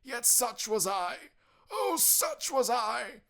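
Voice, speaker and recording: Anguished male ghost, raised wailing pitch, slow declamation, loud, storybook drama. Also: animated, vocal fry, heavily acted. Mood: anguished crying out. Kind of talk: theatrical audiobook character acting.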